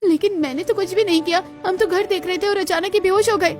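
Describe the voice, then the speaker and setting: A woman's voice: very high voice, fairly even intonation, fast, over music. A woman voicing a naive, wide-eyed young village bride in a Hindi moral-story cartoon, full of questions and exclamations. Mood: alarmed.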